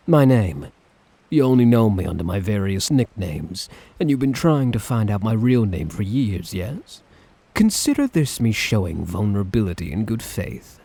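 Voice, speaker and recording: A man playing a smug, obsessive dictator in an ASMR roleplay, theatrical and drawling, with condescending, menacing affection and a husky voice. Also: fairly low pitch, dark tone, full character voice. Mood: coaxing, possessive. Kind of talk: ASMR roleplay, dramatic monologue to listener.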